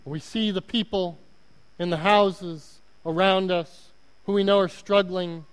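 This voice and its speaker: A man preaching a sermon in a large sanctuary, his voice high and light. He tells the story slowly and deliberately, with pauses between phrases. A long reverb from the hall trails after his words. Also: steady tone, clean tone.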